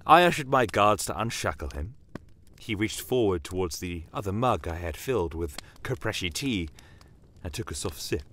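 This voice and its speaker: A man voicing a shrewd, bitter non-human desert commander in a dramatic audio story, speaking in a measured, theatrical and courteously menacing way. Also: sits low, lively intonation, a bit bright, slight background noise. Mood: measured storytelling. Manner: measured, theatrical, pointed rhetorical questions. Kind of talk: dramatic first-person audio story narration. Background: battle aftermath ambience.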